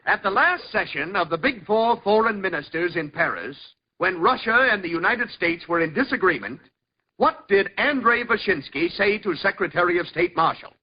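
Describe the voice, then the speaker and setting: A man's voice: high-pitched, very animated, normal speed, husky, heavily acted. A man acting a showy, mock-sympathetic quiz show host in theatrical 1940s radio comedy style. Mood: announcing. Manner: theatrical, announcing, mock-apologetic. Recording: muffled old radio, audience behind.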